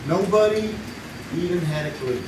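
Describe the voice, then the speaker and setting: A secretive man confides a long-kept secret in a hushed, conspiratorial tone. His voice sits slightly high. He sounds distant in a roomy theater with steady audience noise. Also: normal speed. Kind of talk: live radio play on stage. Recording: echoey stage recording from far off, with audience noise.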